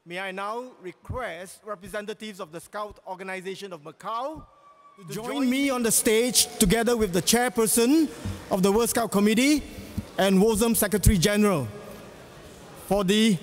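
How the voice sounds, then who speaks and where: A man chairing a conference, making a formal, stately ceremonial announcement. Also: sits high. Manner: stately, deliberate declaring, rising to a loud call at the end. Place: large conference hall. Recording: echoey hall with crowd and faint noise.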